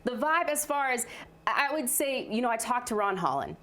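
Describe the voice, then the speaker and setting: A woman works as an analyst on a sports talk show. Her voice sits fairly high and swings in a very lively way as she lays out her points with emphasis. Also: normal speed.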